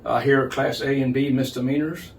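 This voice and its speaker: Older man, halting, slightly distant mic. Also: mid-range voice, fairly even intonation. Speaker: county judge, interview guest. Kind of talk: explanatory interview answers. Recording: distant mic, noticeable background noise.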